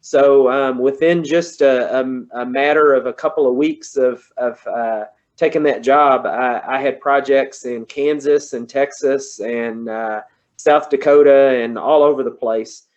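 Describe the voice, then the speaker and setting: Kentucky-accented presenter, 40s, male, easygoing, mid-pitched, slightly muffled call audio. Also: fairly even intonation, measured pace. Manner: folksy, conversational, says y'all. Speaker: guest presenter, engineer. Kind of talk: online presentation. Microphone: computer on a video call.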